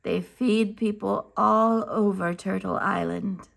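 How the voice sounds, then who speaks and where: Woman reading as a calm, patient storybook elder. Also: medium pitch, takes it slowly, gravelly, exaggerated voice.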